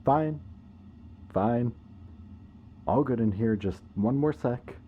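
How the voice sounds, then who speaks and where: A man acting a possessive, anxious antihero and devoted best friend in an emotional, halting audio roleplay addressed to the listener. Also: mid-range voice, phone-quality sound, a little hiss or hum, natural voice. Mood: flustered. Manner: halting, hesitant, earnest.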